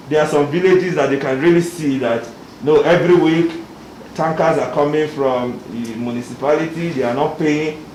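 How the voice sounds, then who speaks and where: A South African man with a slightly higher voice gives formal testimony as an expert, explaining at length and leaning on "you know". He is recorded in a large, echoey hearing room with a faint hiss behind him. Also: darkish tone. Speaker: university expert presenter. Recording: echoey hall, faint noise.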